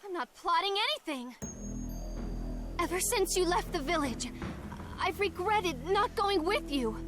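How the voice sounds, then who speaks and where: A woman's voice: very high-pitched, lively intonation, a little slow. A woman playing a devoted, anguished teenage ninja girl in an anime game, speaking in an earnest, pleading way. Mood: desperate devotion. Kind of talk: English anime game voice acting. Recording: music behind the voice.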